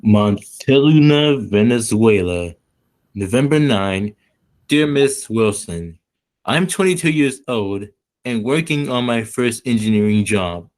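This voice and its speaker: Man acting a slow, polite young engineer. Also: medium pitch, expressive, a little mellow. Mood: polite, hopeful. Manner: measured, courteous, slightly halting. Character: polite, eager twenty-two-year-old American mining engineer. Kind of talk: 1940s radio play letter reading.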